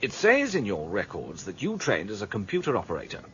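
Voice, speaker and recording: Insistent questioner, radio play, hugely varied intonation, muffled hissy recording. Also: male voice, on the low side, wildly swooping pitch, brisk, fairly bright tone, heavily acted. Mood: exasperated, insistent. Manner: probing, clipped questions. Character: insistent male questioner, patient but exasperated. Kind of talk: radio drama acting. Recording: dull lo-fi radio recording with hiss.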